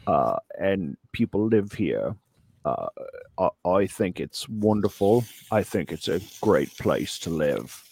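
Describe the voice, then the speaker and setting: A man giving a comic mock lecture in a put-on Australian accent, hesitant and deadpan. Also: low voice, vocal fry, husky. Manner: putting on a joke Australian accent. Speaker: comic presenter giving a slideshow talk. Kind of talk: mock lecture, improvised comedy presentation.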